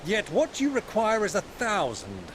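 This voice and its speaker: An actor playing a jovial, affectionate older noble warrior uncle in a video game, theatrical and avuncular with old-fashioned phrasing. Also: male voice, sits high, huge pitch swings, normal speed, noisy background. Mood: wry, fond. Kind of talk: dramatic video game cutscene acting.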